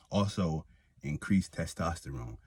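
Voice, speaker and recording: Young man, slight lisp, very low voice, husky, rambling casual vlog talk. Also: expressive, slow pace, darkish tone. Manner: rambling, many fillers and restarts. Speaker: vlogger. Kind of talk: casual personal testimony.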